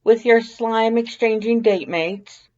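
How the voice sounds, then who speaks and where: A woman's voice: on the high side, slow pace, a little mellow, dull audio. A woman voicing a prissy, verbose male skeleton in an audio drama, with a formal, grandiose, carefully enunciated delivery. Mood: prim, teasing. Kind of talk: fan fiction audio drama acting.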